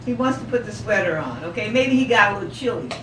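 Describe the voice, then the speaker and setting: A woman's voice: medium pitch, crowd noise. A woman teacher reading a picture book aloud to young children, asking questions, repeating their answers encouragingly and stretching words for emphasis. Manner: expressive, drawn-out emphasis on story words. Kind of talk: interactive read-aloud storytelling with questions. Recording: echoey room, distant mic, loud background noise.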